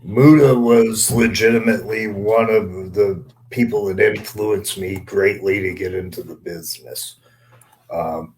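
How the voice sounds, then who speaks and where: A man's voice: lowish voice, poor audio. A man talking on a podcast, giving opinions in an earnest, emphatic way and repeating points for weight. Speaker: wrestler and panelist.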